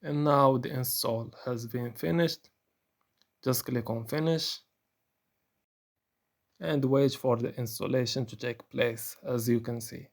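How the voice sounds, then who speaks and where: Creaky-voiced man narrating a software tutorial. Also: medium pitch, slow, pauses often.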